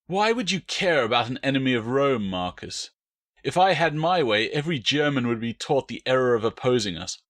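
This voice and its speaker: A man's voice: medium pitch, lively intonation, fairly quick, a bit bright, natural voice. A man voicing a big, cruel, vengeful Roman soldier, hot-tempered, accusing and sneering in an audiobook. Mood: sneering accusation.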